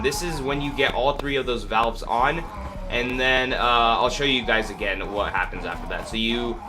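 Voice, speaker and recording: A man with a cold, congested and sniffling, hosting a casual gameplay walkthrough in a rambling, hesitant way full of fillers. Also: mid-range voice. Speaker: gaming video host. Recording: clear background noise.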